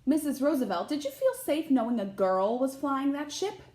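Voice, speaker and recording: A woman's voice: high voice, big pitch swings, normal speed, a little mellow, roomy sound, pitched above the performer's own voice. A woman reading the part of an eager, inquisitive news reporter in a children's storybook, with emphatic questioning. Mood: eager, curious.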